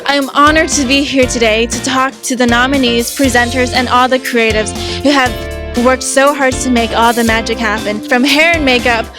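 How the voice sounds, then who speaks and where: A woman's voice: on the high side, fairly even intonation, moderate pace, music in the background. A woman hosting a red carpet, interviewing award nominees in an upbeat way.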